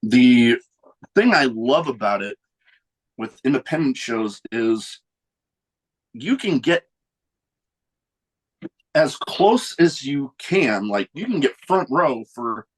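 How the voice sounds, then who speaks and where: A man hosts a casual podcast in an easy, rambling manner. His voice sits at an ordinary middle pitch, and he often trails off or restarts as he chats. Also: slow, pauses often, slightly rough, poor audio. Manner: relaxed, rambling, chuckles between thoughts. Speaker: podcast host. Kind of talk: casual chat.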